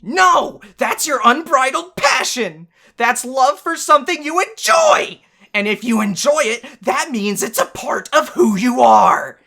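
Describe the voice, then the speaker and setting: A woman voicing a brash, fiery fish-warrior woman who bosses and encourages loudly in a visual novel game. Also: big pitch swings, measured pace, heavily acted. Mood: fired up, passionate. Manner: forceful, booming encouragement. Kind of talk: amateur visual novel voice acting.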